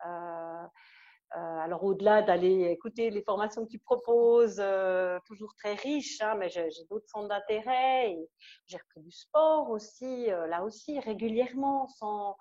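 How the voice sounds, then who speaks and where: A woman from Switzerland with a Swiss French accent, giving an enthusiastic, halting testimonial full of fillers and restarts. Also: medium pitch, mellow, muffled recording. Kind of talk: casual testimonial interview.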